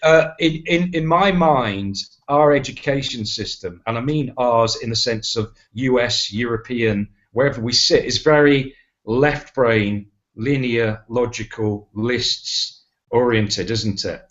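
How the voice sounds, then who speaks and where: A man's voice: mid-range voice, slow, a bit bright. A man hosting an online panel, rambling and self-deprecating, with frequent stammered restarts. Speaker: host. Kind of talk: casual online panel chat. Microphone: video call. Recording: dull lo-fi video call audio.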